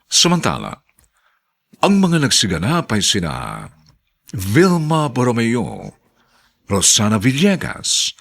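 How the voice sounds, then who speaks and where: A man announcing a radio drama in dramatic scripted narration, theatrical and deliberate. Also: mid-range voice, huge pitch swings, vocal fry, a bit bright.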